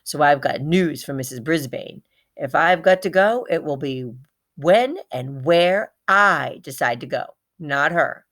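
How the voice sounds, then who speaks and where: Woman as a dramatic little hamster, low-pitched. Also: a little slow, fairly bright tone. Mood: defiant. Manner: dramatic, emphatic. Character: small proud golden hamster narrator, indignant and dramatic.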